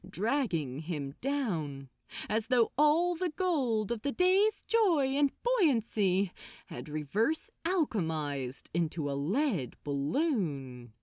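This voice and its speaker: Woman, dramatic story narration, expressive, unhurried pace, close dry sound. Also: mid-range voice, no echo. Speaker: audiobook narrator.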